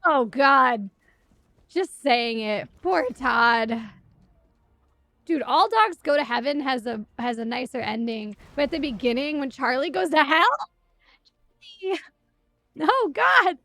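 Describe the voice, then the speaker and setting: A young woman chatting casually on a game stream, wry, animated and sweary, close on the mic. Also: sits high, slow, long pauses, dry recording. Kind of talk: casual live stream chat while gaming.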